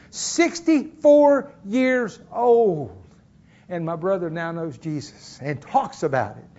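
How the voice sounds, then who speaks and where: High-voiced preacher, folksy. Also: male voice, a little mellow, muffled recording. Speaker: pastor. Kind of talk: sermon. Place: church.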